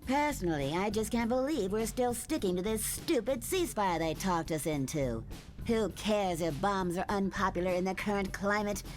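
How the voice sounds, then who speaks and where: A woman's voice: medium pitch, animated, moderate pace, soft background music, lightly acted. An actress voicing a scornful, militant female mutant terrorist in a motion comic, sarcastic and disgusted.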